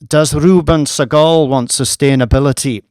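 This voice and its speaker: A man voicing a guarded, stern woman, raised pitch. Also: steady tone, normal speed, darkish tone, clean tone, no room sound, clean studio sound, close to an everyday voice. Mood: wary. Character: guarded, stern married woman working in Nigeria. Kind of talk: audiobook character voice.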